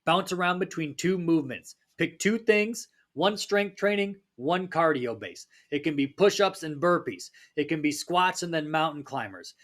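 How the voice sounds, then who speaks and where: Male fitness coach, a bit raspy from a cold; higher-pitched, casual, motivational podcast delivery. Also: expressive, normal speed, hardly any pauses, a bit bright. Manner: upbeat, pep-talk delivery. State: slightly raspy from a recent cold.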